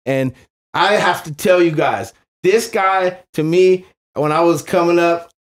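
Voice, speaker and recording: A man's voice: fairly high pitch, unhurried. A man hosting a podcast interview, talking with enthusiasm and stumbling over his words with lots of fillers. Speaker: podcast host.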